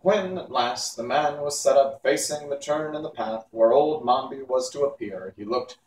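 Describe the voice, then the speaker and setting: A man's voice: mid-range voice, moderate pace, a little mellow. A man reading a children's novel aloud as narrator, in an unpolished amateur way. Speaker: storybook reader. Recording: echoey room, distant mic, faint hiss.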